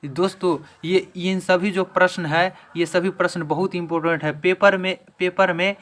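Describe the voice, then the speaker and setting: A man's voice: high voice, steady tone, moderate pace, a bit bright. A man teaching an exam-prep lesson in question-and-answer form, emphatically repeating each answer.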